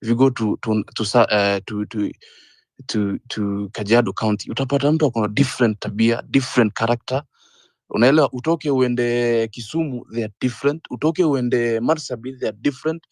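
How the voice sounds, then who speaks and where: A man in his thirties with a Kenyan accent, speaking earnestly in a panel discussion, often pausing on "uh" and repeating his points. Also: medium pitch, darkish tone, no echo, studio quality. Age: 30s. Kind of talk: impassioned discussion, mixing English and Swahili.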